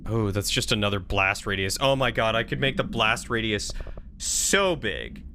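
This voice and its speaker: Bright-voiced man streaming a game. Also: lowish voice, animated, normal speed, slight background noise. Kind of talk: casual live game commentary, chatting with viewers.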